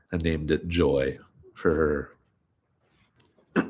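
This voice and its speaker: A man reading stories aloud on a live stream, chatting loosely between readings, a bit stuffy and nasal. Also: extremely low pitch. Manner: rambling, relaxed, many fillers. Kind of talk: live-streamed story reading with casual chat.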